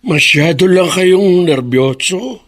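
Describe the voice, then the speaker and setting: Slow, husky old grandfather. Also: sits high, a bit bright, full character voice. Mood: teasing. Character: stubborn, gentle elderly widowed grandfather. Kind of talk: radio drama acting.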